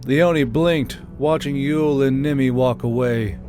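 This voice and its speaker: A man's voice: mid-range voice, a little slow. A man reading scripted fiction narration as the narrator of an audiobook. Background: soft background music.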